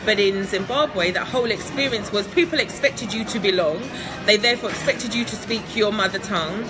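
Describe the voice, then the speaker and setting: A woman's voice: medium pitch, a little fast, music in the background, rough recording. A woman from England, answering interview questions in a chatty, upbeat way, laughing often and filling with ums. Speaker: guest entrepreneur.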